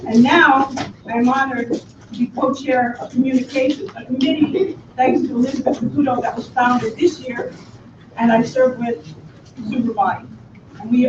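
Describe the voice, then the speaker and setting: Mature higher-voiced woman in a noisy hall. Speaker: long-serving board member running for office. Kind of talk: public campaign speech. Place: indoor public meeting room. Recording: echoey, distant, dull, clearly noisy room.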